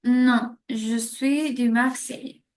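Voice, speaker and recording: A woman giving a scripted French grammar explanation with measured example sentences. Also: fairly high pitch, fairly even intonation, slight background noise. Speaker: French teacher.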